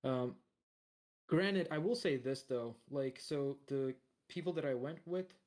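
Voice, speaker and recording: A man with a deep voice co-hosting a podcast, rambling in casual chat with lots of fillers and restarts. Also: unhurried, darkish tone. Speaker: podcast co-host.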